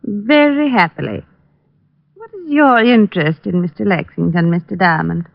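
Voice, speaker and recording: A woman's voice: medium pitch, animated, moderate pace, creaky, no room sound. An actress playing a poised, evasive society woman with a formal, hesitant manner, in 1940s radio drama style. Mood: guarded. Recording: dull dry old radio recording.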